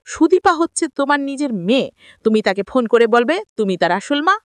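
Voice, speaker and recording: Woman putting on a high-pitched, menacing female kidnapper. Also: big pitch swings, brisk, a bit bright, dry recording, studio quality. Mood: commanding. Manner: threatening, commanding.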